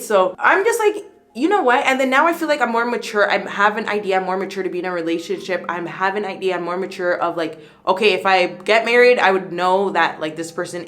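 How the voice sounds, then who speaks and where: A woman in her late twenties chatting casually and rambling through her skincare routine, full of "like" fillers, her voice jumping up when she gets excited. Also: medium pitch. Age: 20s. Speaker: beauty vlogger. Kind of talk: casual chat while doing skincare.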